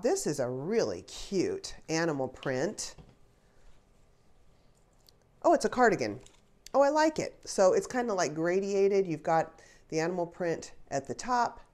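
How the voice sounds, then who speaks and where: A woman's voice: fairly low pitch, a little mellow. A woman in her fifties, a little stuffy from congestion, chatting casually as she unboxes and tries on clothes, on a lapel mic in a small bedroom. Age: 50s. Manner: chatty, thinking aloud with small laughs. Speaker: fashion try-on vlogger. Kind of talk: casual unboxing and try-on chat. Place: small pink bedroom, indoors. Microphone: clip-on lapel mic.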